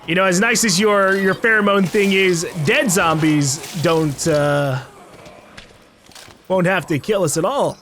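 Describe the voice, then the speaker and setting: A man's voice: high voice, a little slow, fairly bright tone, a little hiss or hum. A man giving casual let's play commentary in a playful way, calling out to the game's characters. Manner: playful, calls out to game characters. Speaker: gaming YouTuber, let's play host.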